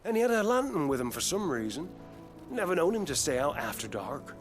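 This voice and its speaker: A man playing a plain-spoken British townsman in a video game, brisk and colloquial. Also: fairly high pitch, sing-song, soft background music. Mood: helpful, puzzled.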